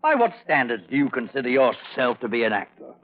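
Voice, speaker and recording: An actor playing a curt, exacting theater director in a vintage radio drama, with a dry, cutting manner. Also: male voice, mid-range voice, sing-song, brisk, narrow, tinny audio, natural voice. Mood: exasperated. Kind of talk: 1940s-50s radio suspense acting. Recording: band-limited old radio recording.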